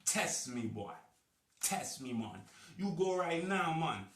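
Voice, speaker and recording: A man's voice: on the high side, sing-song, takes it slowly, a bit bright, reverberant, far from the mic. A man giving a slangy, emphatic vlog monologue to his viewers, repeating his points. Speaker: video blogger. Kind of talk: casual street-talk monologue to camera.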